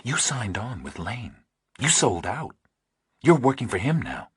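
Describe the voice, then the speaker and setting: A man's voice: mid-range voice, big pitch swings, measured pace, breathy edge, no room sound, clean studio sound, voice pushed up, full character voice. A man voicing a skeptical, guarded professional woman with clipped speech in an audiobook thriller. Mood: accusing, cool.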